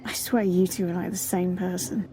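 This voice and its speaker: Mellow, teasing young female student acted out. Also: on the low side, flat delivery, moderate pace, a little hiss or hum, close to an everyday voice. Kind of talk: amateur audio drama acting.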